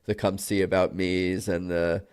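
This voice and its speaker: A man's voice: low voice, little pitch movement, measured pace, darkish tone, smooth. A man hosting a podcast, chatting casually and rambling with many fillers. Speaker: podcast host.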